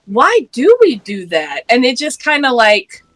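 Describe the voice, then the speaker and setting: A woman's voice: fairly high pitch, huge pitch swings, a little slow, dry recording, faint music behind. A woman in her late thirties from Georgia, talking earnestly and passionately in a casual panel discussion, laughing now and then. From Georgia, US Deep South. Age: 30s.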